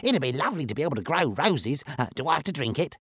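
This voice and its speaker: A man voicing an excitable, muddled old male creature in a storybook, in a high, slow, delighted voice. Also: slightly rough, no echo, clean studio sound, exaggerated voice.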